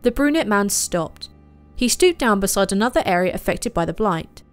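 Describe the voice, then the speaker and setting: A woman's voice: medium pitch, lively intonation, normal speed, soft background music. A woman reading scripted fantasy story narration.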